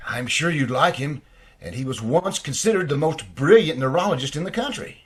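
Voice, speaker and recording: A man's voice: on the high side, expressive, moderate pace, breathy edge. A man playing an earnest, worried family doctor in an old-time radio drama, pleading and hesitant. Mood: explanatory, hesitant.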